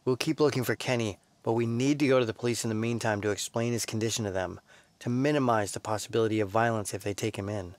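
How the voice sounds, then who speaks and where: An actor playing a calm, earnest researcher who explains things helpfully in an audio drama. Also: male voice, fairly low pitch, fast, no room sound, close to an everyday voice. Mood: earnest, concerned.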